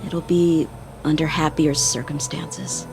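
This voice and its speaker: A slightly low-voiced young sword apprentice talks slowly over music in a video game. She is sincere and polite, with a deliberate, earnest manner. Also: fairly even intonation, vocal fry, heavily acted, low-quality recording. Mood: earnest, wistful. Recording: background music under dialogue.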